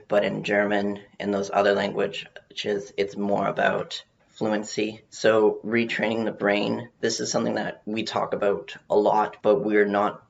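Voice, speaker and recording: A man giving an informal research presentation, speaking haltingly with some repeated words, on muffled audio. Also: lowish voice, vocal fry. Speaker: presenter on learning to read.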